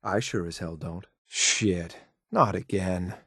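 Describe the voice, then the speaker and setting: Low-voiced sardonic soldier. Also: male voice, slow pace, a bit bright, breathy edge, no room sound, exaggerated voice. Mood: curt, confident. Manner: clipped, dry sarcasm, addresses superior respectfully. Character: hard-edged, sardonic military male soldier companion, loyal but disdainful. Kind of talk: video game companion voice lines.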